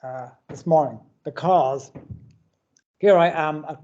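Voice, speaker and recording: A man in his seventies with an Irish accent, Irish his first language, talks to students in an earnest, measured way. His voice is mellow and even, with a slightly muffled recording. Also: fairly high pitch. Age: 70s. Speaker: guest speaker. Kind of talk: formal talk at a university event.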